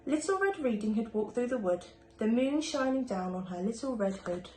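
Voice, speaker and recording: Animated woman reading a children's story. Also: fairly high pitch, normal speed. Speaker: primary school teacher reading aloud. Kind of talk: expressive children's storybook narration. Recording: echoey room, faint background noise.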